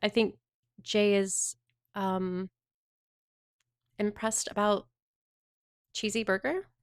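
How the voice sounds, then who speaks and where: A woman's voice: mid-range voice, little pitch movement, slow pace, smooth, dry recording, studio quality. A woman from the Southern United States chatting casually on a livestream, rambling and answering her viewers' chat messages.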